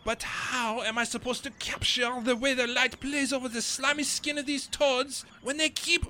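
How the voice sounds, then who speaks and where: High-pitched, excitable painter. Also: male voice, moderate pace, slight background noise, exaggerated voice. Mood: frustrated. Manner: exclamatory, melodramatic. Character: excitable, frustrated male French painter of frogs. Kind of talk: comic radio play acting.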